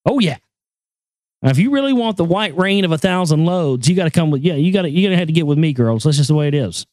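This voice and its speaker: A man with a high voice hosting a casual talk show, joking crudely and rambling over news items. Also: animated, fast talker, a little mellow, dry recording, clean studio sound. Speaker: show host.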